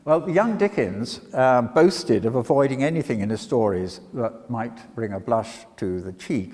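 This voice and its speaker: A man's voice: mid-range voice, a little mellow. Older man lecturing in a reverberant church. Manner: hesitant, often repeats words between polished phrases. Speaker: lecturer and dramatic reader. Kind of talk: public literary talk with read-out quotations. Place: talk in a church before an audience. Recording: reverberant church, long echo.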